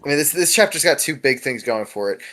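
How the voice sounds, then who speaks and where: A man chatting casually as a co-host, with a halting, stammering delivery full of repeated words and casual swearing. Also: medium pitch, lively intonation, sharp tone. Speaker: podcast co-host discussing a webcomic episode. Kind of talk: casual chat.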